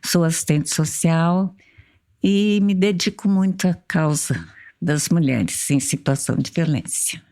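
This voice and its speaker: A woman in her seventies with a Brazilian Portuguese accent, slowly and reflectively recalling her years as a social worker in an interview. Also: low-pitched, warm tone. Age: 70s. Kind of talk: recorded interview testimony.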